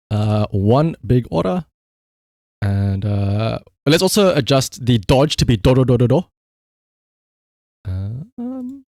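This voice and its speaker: A man's voice: medium pitch, vocal fry, a little mellow, no room sound, clean studio sound. A man doing casual live game-stream commentary, swearing freely and repeating short command words.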